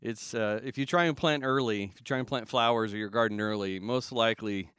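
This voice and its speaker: A man in his thirties from Southern California, a pastor talking casually as a podcast guest, relaxed and rambling with fillers and chuckles. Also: on the low side, fairly quick, clean tone, no room sound, clean studio sound.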